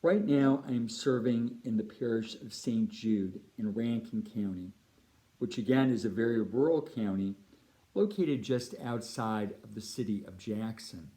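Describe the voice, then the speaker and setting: A middle-aged man with a Californian American accent, a priest giving a prepared appeal talk in measured, deliberate phrases. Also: lowish voice, dark tone, rough recording. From United States (Chicago and Southern California).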